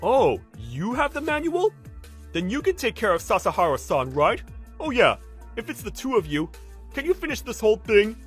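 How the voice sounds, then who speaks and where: The desk hog of a cartoon office, a high, loud, scornful senior barking across the cubicles , acted out by a man, music underneath. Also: very animated, brisk. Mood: brash, dismissive. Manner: sneering, loud, dismissive. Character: arrogant, scornful, lazy male office senior, a bully. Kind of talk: exaggerated anime-style dub acting.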